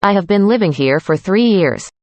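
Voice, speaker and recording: A woman reading a student's part in a scripted classroom conversation, calm and plain. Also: mid-range voice, normal speed, dry recording. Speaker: student in a dialogue.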